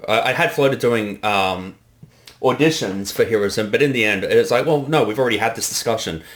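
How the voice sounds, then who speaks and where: A man with a fairly low voice gives casual director's commentary. He explains choices reflectively, pausing and picking through his thoughts. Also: fairly bright tone. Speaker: director giving creator commentary. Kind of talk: casual behind-the-scenes commentary.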